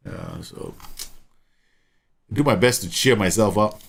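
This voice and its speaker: A man's voice: on the low side, huge pitch swings, slow pace, creaky, slightly rough. Chatty man streaming. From Singapore. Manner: chatty, repeats words, explains tactics at length. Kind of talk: live gameplay commentary and chat.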